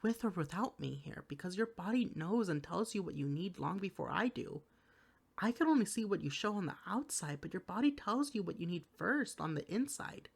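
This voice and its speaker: A woman's voice: on the low side, lively intonation, normal speed, gravelly, husky, full character voice. A woman playing a worried, exasperated partner in an intimate audio roleplay, speaking to the listener with earnest, caring reproach. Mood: tender, concerned. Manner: earnest, pleading, caring scolding.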